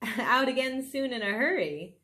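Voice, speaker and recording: Lady doing a folksy smug farmer guy from a kids' book, bouncy pitch. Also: lively intonation, a little slow, pushed and bright, full character voice. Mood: gloating. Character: folksy, gloating male farmer after the foxes. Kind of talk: children's storybook read aloud.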